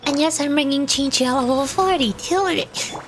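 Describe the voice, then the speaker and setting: A woman's voice: high voice, a little fast, slight background noise. A woman livestreaming a game, chatting casually in a rambling way and stumbling as she reads dialogue aloud. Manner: rambling, stumbles over words while reading. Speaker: streamer, VTuber.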